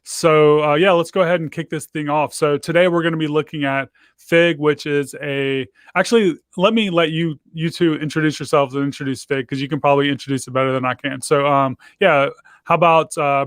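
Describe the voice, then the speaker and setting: A man hosting a casual video call interview, talking in a relaxed, chatty way with lots of fillers. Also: fairly high pitch, fairly quick, smooth, no echo. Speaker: host. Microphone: video call microphone.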